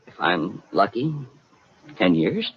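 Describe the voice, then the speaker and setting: An actor playing a naive, melodramatic young private eye, earnest and stammering, with a husky voice, in 1950s radio comedy-mystery style. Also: male voice, low-pitched, wildly swooping pitch, slow pace, exaggerated voice. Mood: earnest, flustered. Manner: earnest, self-dramatizing, stammering. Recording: dull, muffled 1950 radio broadcast.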